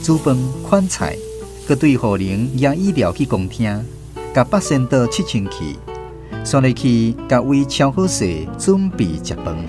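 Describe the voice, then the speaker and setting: A man with a Taiwanese accent narrates a radio drama with a very lively, rising and falling delivery. His voice sits at a middle pitch, over clear plucked guitar music. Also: a little slow. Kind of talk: scripted storytelling narration.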